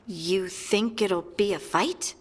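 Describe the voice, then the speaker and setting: Husky, earnest young game heroine. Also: female voice, medium pitch, slow. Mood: downcast, unsure. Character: earnest young woman, normally cheerful, now troubled. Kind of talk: video game dialogue. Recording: long reverb, faint music.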